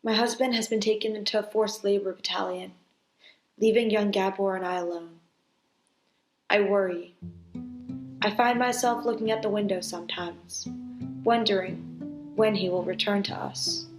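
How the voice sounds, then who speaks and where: A woman's voice: medium pitch, steady tone, moderate pace, long pauses, natural voice. Woman acting as worried determined mom in a war drama, echoey room, bit of music behind. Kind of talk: theatrical storytelling monologue and dialogue. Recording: roomy home recording with music underneath.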